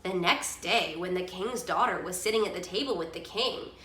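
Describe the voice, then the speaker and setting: A woman reading a storybook aloud to a child in an expressive storytelling style, in an echoey room. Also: lowish voice, normal speed.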